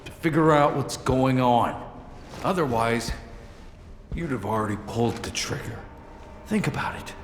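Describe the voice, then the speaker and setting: An actor playing a tough, wary, pragmatic armed operative in a video game, speaking in a measured, hard-edged and persuasive way. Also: male voice, mid-range voice, animated, slight background noise, close to an everyday voice. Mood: exasperated urging. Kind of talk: video game cutscene acting. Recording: echoey hall with long reverb, music behind.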